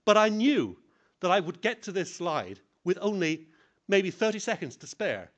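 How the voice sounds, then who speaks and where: Male conference speaker, lively pitch swings, self-deprecating, plain-spoken. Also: moderate pace, highs cut off. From English-speaking country. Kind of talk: lecture on public speaking.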